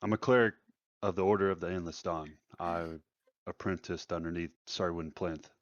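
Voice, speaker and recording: Deep guy playing an rpg, blunt and sweary, dull close dry mic. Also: fairly even intonation, no room sound. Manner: blunt, profane, halting. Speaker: tabletop game player. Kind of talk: casual in-character game chat.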